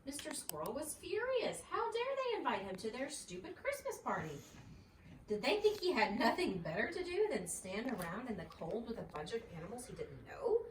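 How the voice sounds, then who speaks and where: A woman's voice: fairly high pitch, sing-song, normal speed. A woman narrating a children's storybook aloud expressively, as a mother reads. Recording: roomy, distant mic, faint noise.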